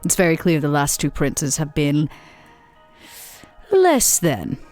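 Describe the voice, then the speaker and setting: A woman playing a shrewd, composed female vampire in a tabletop roleplay, speaking in measured, formal phrases. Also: deep voice, very animated, darkish tone, soft background music, full character voice. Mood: calm, calculating. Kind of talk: tabletop roleplay actual play.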